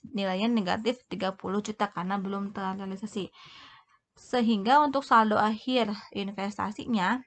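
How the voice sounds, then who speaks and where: Halting woman tutor, creaky voice. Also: medium pitch. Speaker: accounting tutor. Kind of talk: explanatory tutorial narration.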